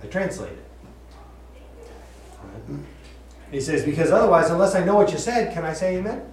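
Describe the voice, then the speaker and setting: A man leads a relaxed Bible study in a reverberant room, heard from some distance away. His voice sits a little high and moves up and down a lot. He talks chattily, laughs often and restarts his sentences. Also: normal speed. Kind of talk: casual Bible study teaching. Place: room with group listening. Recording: echoey room, voice from far off.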